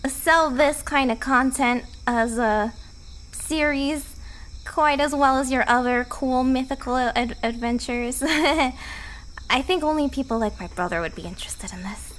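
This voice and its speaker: Very high-pitched woman in casual chat. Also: a little slow, a bit bright. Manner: joking, informal. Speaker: voice actor and streamer, podcast guest.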